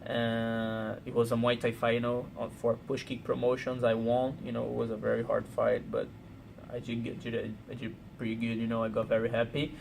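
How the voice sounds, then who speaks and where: A man from Brazil with a Portuguese accent, speaking halting English full of "you know" in a casual interview. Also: medium pitch, monotone, vocal fry, a little hiss or hum, low-quality recording. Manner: frequent "you know" fillers, halting non-native English. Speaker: pro fighter.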